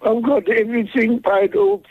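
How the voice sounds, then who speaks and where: A man in his seventies calling in to a radio show, speaking in slow, halting phrases with pauses. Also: very high voice, big pitch swings, slightly rough, dry recording. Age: 70s. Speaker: caller. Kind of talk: phone-in call. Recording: tinny phone line.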